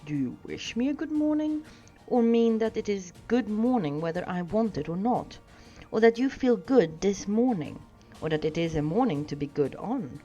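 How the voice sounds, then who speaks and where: A woman's voice: mid-range voice, lively intonation, normal speed, dark tone, voice pushed up, natural voice. A woman voicing a stern, teasing old wandering wizard in a wordy, pointed storybook reading. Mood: testing. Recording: dull sound with music behind.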